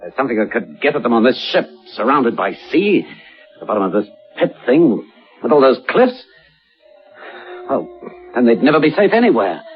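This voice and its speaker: An actor playing a sober, uneasy British gentleman telling a sea horror tale on radio, with measured, deliberate phrasing. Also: medium pitch, very animated, close to an everyday voice. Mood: reasoning, concerned. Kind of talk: dramatised first-person radio narration and dialogue. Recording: dull radio recording, faint music.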